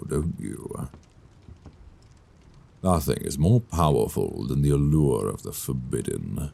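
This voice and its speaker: Very deep, suave sadistic vampire lord. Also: male voice, mellow, exaggerated voice. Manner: courtly, teasing, menacing, savoring his words. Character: suave, sadistic male vampire lord courting his captive enemy. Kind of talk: intimate roleplay audio acting. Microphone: close binaural microphone. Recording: close binaural mic, clear background noise.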